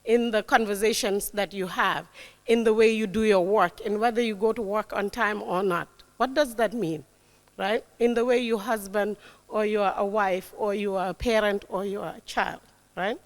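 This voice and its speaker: A woman's voice: mid-range voice, steady tone, moderate pace. A woman in her forties giving a motivational talk to young people, emphatic, often checking in with "right?". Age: 40s. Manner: warm, emphatic, repeats key words, frequent "right?". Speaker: guest speaker. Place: lecture hall. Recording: long hall reverb.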